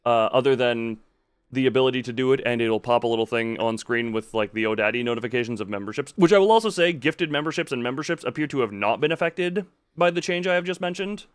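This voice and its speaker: A man's voice: mid-range voice, fast talker, clear, resonant tone, dry recording. A man giving casual livestream commentary on a game, rambling and breaking off his own sentences with wry asides. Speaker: video game streamer.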